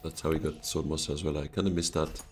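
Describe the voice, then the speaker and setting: A man's voice: very deep voice, level tone, fast, soft background music. A Swiss man, streaming a board game live and thinking his moves through aloud to himself and his chat. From Switzerland. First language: French.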